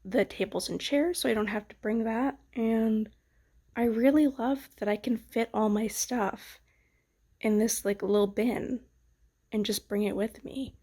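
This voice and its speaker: A young woman vlogging at home, chatty and soft-spoken, sounding sick, sniffly and nasal. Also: fairly high pitch, a little slow, breathy edge. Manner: soft-spoken, chatty, often trailing into whispers. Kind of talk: casual chat to camera. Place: home studio.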